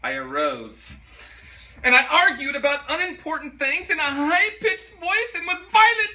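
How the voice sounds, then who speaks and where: A man's voice: extremely high pitch, sing-song, bright, forceful tone, exaggerated voice. A man playing a guilty, nervous murderer coming undone, in a theatrical dramatic reading of a gothic horror story. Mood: frenzied panic. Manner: theatrical, deliberate, intensely emotional. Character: guilty, nervous murderer unraveling into frenzied madness. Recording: noisy, distant microphone.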